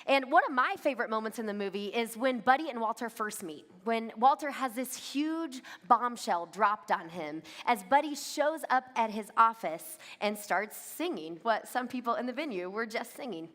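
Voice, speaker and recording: High-voiced woman preaching in a reverberant hall. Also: expressive, normal speed, few pauses, fairly bright tone. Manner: relatable, addresses listeners directly with reflective questions. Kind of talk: conversational sermon to a congregation. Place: large church venue with long reverb. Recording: reverberant hall, amplified sermon.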